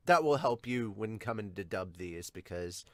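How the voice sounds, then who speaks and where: A man's voice: lowish voice, lively intonation, unhurried, no echo, clean studio sound. A man hosting a casual reaction podcast, explaining things emphatically and swearing casually. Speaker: podcast host. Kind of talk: casual chat, manga reaction.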